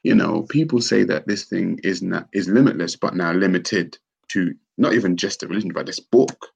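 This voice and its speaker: A man's voice: low-pitched, normal speed, gravelly, husky. A man hosting a casual conversation, skeptical and questioning, arguing with rhetorical questions.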